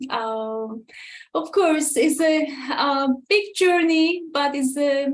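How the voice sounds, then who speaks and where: A woman's voice: a little high, low-quality recording. A woman from Turkey introducing herself over a video call, hesitant with many fillers. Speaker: presenter. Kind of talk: casual self-introduction.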